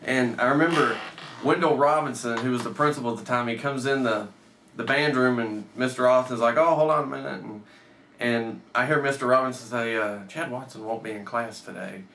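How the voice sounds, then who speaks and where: A man's voice: mid-range voice, fairly quick, bright, forceful tone, slight background noise. A man in his thirties telling a personal story casually, with swearing and acted-out bits of dialogue. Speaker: storyteller, host. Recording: roomy echo, off the mic.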